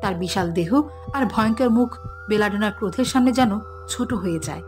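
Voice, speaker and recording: A woman's voice: medium pitch, a little fast, over music. A woman giving scripted narration for a fairy-tale cartoon.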